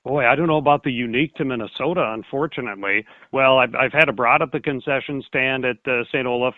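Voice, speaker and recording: Folksy, halting man chatting on sports radio. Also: mid-range voice, no room sound, narrow, tinny audio. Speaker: radio sports broadcaster, guest. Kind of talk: casual interview chat.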